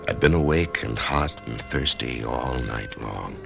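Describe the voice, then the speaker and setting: A frightened man tells his own story in a radio drama, halting over his words. His voice sits very deep with a heavy gravelly rasp. Music plays under the remastered recording. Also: heavily acted. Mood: reflective. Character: anxious man facing surgery, Norman. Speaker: lead actor and narrator. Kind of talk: 1990s radio drama acting.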